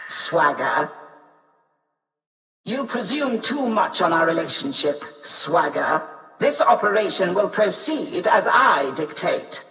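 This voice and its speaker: Imperious, echoing, cranky commander. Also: female voice, lowish voice, a bit bright. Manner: haughty, curt, formal. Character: imperious, cranky female authority figure, impatient and suspicious. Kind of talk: dramatic video game character acting. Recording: echoey hall, distant from mic.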